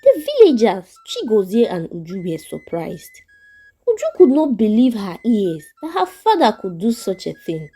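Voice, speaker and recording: A woman's voice: mid-range voice, wildly swooping pitch, moderate pace, warm tone, husky, faint music behind. A woman narrating a folktale as a storyteller, reading from a script.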